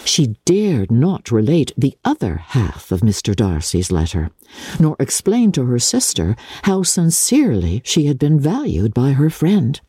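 A woman reading literary narration in a measured, formal and expressive dramatic style. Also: very deep voice, slightly rough, no room sound, studio quality.

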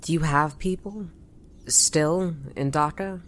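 A woman's voice: low voice, slow, a little hiss or hum. A woman acting an anxious, overwhelmed woman in a sci-fi audio drama, hesitant and stammering over repeated words.

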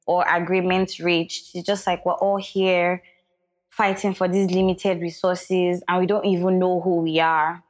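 A woman's voice: lowish voice, little pitch movement, unhurried. A woman co-hosting a podcast, talking things through reflectively with fillers in casual chat. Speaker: podcast co-host.

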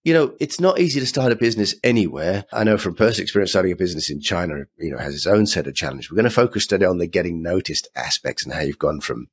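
A man's voice: sits low, animated, quick pace, hardly any pauses, husky. A man hosting an interview podcast, friendly and conversational, with fillers like "you know". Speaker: podcast host.

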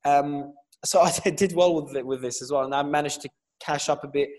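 A man's voice: a little high, fairly quick, darkish tone. A man from Lebanon with an Australian accent, earnestly telling his story as a guest with frequent fillers. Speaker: guest entrepreneur. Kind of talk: interview answers.

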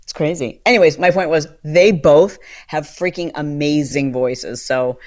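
A woman co-hosting a podcast, chatting candidly and openly with many fillers, her voice deepened by a partly paralysed vocal cord. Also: a little slow, darkish tone. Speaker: podcast co-host. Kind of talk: casual chat.

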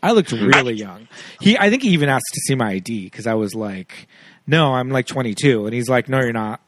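A man's voice: medium pitch, expressive, fairly quick, very noisy. A man, a podcast host, telling stories in a chatty way with many fillers in a casual film chat.

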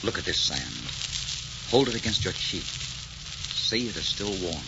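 An actor playing an arrogant, vengeful desert sheik, imperious and romantic, in a 1940s radio romance style. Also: male voice, deep voice, very animated, unhurried, heavy background noise, exaggerated voice. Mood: seductive. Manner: imperious, theatrical, romantic murmuring. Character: arrogant, vengeful desert sheik, commanding and seductive, Oxford-educated. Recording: dull 1940s radio recording, loud organ music.

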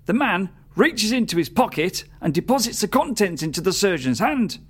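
An actor playing an eccentric, morbid joke-teller in a comic audio drama, with exaggerated delivery. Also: male voice, high-pitched, sing-song, normal speed, husky, exaggerated voice. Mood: gleeful, morbid.

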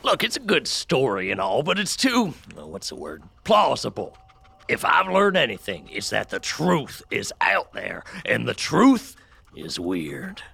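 Gravelly, folksy working man. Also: mid-range voice, huge pitch swings, unhurried, bright, forceful tone, heavily acted. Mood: skeptical. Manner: colloquial, folksy rambling. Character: folksy, skeptical working man, rambling and conspiratorial. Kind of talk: audio drama acting.

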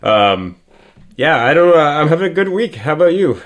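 A man's voice: on the high side, big pitch swings, moderate pace. A young man from Canada co-hosting a casual podcast, rambling through a story with restarts and laughs. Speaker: podcast co-host. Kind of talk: casual chat. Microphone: podcast microphone.

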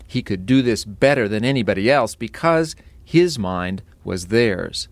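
A man reading a formal written text aloud in a measured, declamatory way. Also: on the low side, lively intonation, slight background noise.

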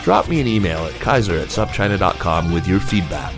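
A man hosting a news podcast, reading scripted stories in a measured narrating style. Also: sits low, very animated, music in the background. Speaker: podcast host and news reader.

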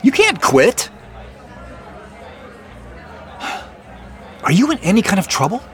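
A man's voice: sits high, wildly swooping pitch, fast talker, a faint crowd. An actor playing an earnest, probing male boss in naturalistic audio drama, speaking in an insistent, questioning, conversational way. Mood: concerned, pleading.

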